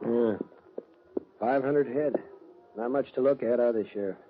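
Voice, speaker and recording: An actor plays a young American rancher, courteous and earnest with a hesitant edge. The style is old radio western drama. The sound is muffled, with noticeable background noise. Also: male voice, medium pitch, unhurried, close to an everyday voice. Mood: glum. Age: young adult.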